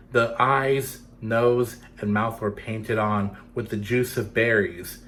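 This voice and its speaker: A man's voice: medium pitch, a bit bright. A man reading a picture book to children, playfully addressing young listeners as he goes. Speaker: storytime reader for children.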